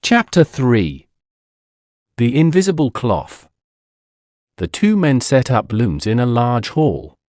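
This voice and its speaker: A male audiobook narrator tells a story for language learners at an unhurried pace. His delivery is expressive, with lively rises and falls in pitch. The sound is dry and close, with each phrase clearly set out. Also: dry recording.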